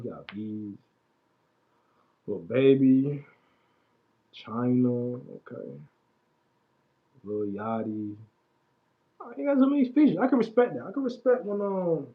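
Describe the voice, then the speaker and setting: A man's voice: mid-range voice, big pitch swings, a little slow, pauses often. Casting: man in his late twenties, laid-back livestream host, slangy and mumbly, muffled stream audio. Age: 20s. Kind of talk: casual livestream chat about music.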